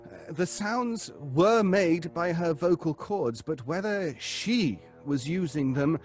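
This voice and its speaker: An actor playing an earnest, hesitant gentleman who leads a séance and admonishes others in an old-fashioned radio horror drama. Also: on the high side, animated, slow, soft background music. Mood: hesitant, cautioning.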